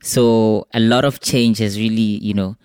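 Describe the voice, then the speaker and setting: A man's voice: fairly low pitch, steady tone, unhurried, darkish tone, clear, resonant tone, dry recording, studio quality. A man from South Africa, a native Sepedi speaker with a South African accent, explaining his work discursively in an interview. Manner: discursive, frequent 'you know'. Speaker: artist, podcast guest. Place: gallery space.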